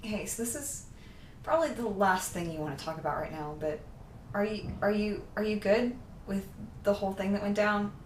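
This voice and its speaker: A woman's voice: medium pitch, normal speed, lightly acted. Roomy, distant, dry-humored young woman played by an actress. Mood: awkward, sincere. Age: young adult. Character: guarded, blunt, dry young woman student vigilante. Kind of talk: naturalistic audio drama dialogue. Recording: roomy, distant mic, faint noise.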